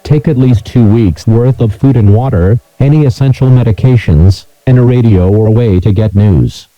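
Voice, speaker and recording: Dark, dry robotic male alert voice. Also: mid-range voice, dry recording, highs cut off. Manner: flat, measured, robotic announcement delivery. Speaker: emergency alert announcer. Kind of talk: scripted emergency alert reading.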